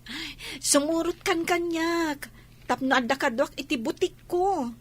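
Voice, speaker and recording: A woman's voice: extremely high pitch, unhurried. A woman with an Ilocano accent playing a caring, persuasive boutique-owner cousin from town in a comedy radio drama. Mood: pleading, persuasive.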